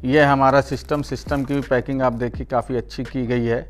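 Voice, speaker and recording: A man's voice: medium pitch, level tone, a little fast, faint music behind. An Indian man hosting a product unboxing and demonstration, explaining things step by step to viewers, often repeating his points and addressing them in a friendly, familiar way. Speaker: product reviewer and channel host.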